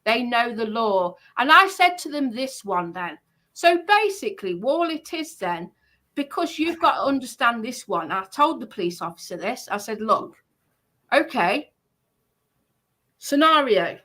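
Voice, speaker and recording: A woman with a bright, forceful voice that sits fairly high. She talks casually but with indignant emphasis, laying out a grievance and throwing in rhetorical questions. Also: big pitch swings, unhurried. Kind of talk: casual chat, recounting a grievance.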